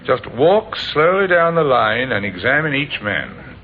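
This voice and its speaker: A man's voice: mid-range voice, big pitch swings, unhurried. An actor playing a polite, methodical Scotland Yard inspector in an old-time radio drama, courteous and a little hesitant as he questions. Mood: courteous, probing. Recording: dull, noisy vintage radio recording.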